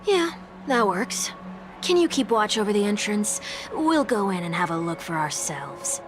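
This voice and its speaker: A woman's voice: mid-range voice, very animated, a little slow, music in the background. An actress playing a confident, teasing woman in a video game, with a cool, playful and assured delivery. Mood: coolly inquisitive. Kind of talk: scripted video game voice acting.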